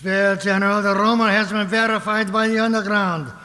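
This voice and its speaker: A man's voice: sits high, steady tone, a little fast, bright, forceful tone. A man playing a pompous, fat onion Secretary General in a satirical radio comedy, declaiming with bombastic indignation. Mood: blustering outrage. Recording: hall-like reverberant stage sound.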